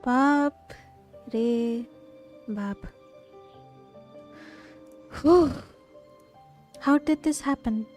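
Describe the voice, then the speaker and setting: A woman livestreamer, reacting casually as she plays. Her voice is high and soft-toned, with faint game music behind it. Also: drawn-out words, long pauses. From India.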